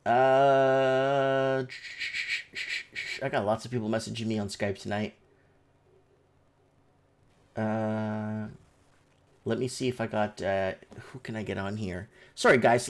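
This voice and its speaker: A man hosting a casual live call-in stream, rambling and repeating himself as he addresses his viewers. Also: medium pitch. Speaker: livestream host.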